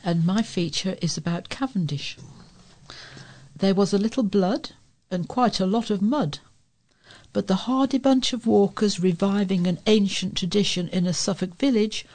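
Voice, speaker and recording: A woman's voice: medium pitch, moderate pace, dark tone. British woman reading local news aloud. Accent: British English. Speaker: volunteer newsreader. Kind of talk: reading letters and articles aloud.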